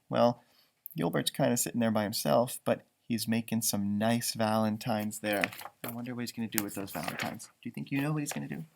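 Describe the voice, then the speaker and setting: A man reads a children's storybook aloud in an animated, teacherly way. He slips into casual, encouraging asides and stretches his words for young listeners. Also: medium pitch.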